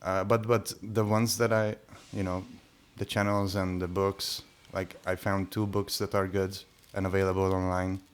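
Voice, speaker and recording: A man whose first language is Hungarian, talking casually on a podcast with many pauses and restarts. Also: low-pitched, flat delivery, clear, resonant tone. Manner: hesitant, many restarts and fillers. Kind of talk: casual conversation.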